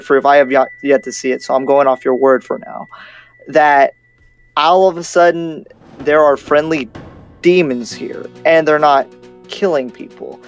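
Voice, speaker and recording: A man playing a skeptical, cautious demon slayer in an improvised roleplay, speaking in a halting, incredulous way. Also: a little high. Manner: halting, incredulous, repeats himself. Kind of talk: improvised roleplay acting in casual speech.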